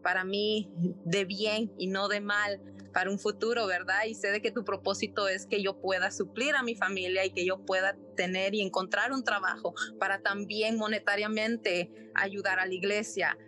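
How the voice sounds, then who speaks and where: A woman's voice: mid-range voice, steady tone, moderate pace, sharp tone. A young woman from Nicaragua, a podcast guest, earnestly telling a personal story with many fillers and tag questions. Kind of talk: personal story in casual chat.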